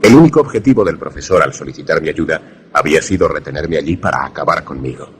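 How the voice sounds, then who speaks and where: An actor playing a wary, grave protagonist who narrates a radio suspense drama formally. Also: male voice, a bass voice, expressive, fast, a little hiss or hum. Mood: solemn storytelling. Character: wary male narrator-protagonist, secret lover in danger.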